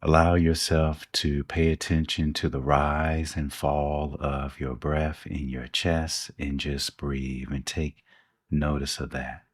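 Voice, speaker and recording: A man co-hosting a podcast, leading a guided meditation with soft, slow instructions and long pauses. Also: rumbling low, level tone, slightly rough, dry recording, studio quality.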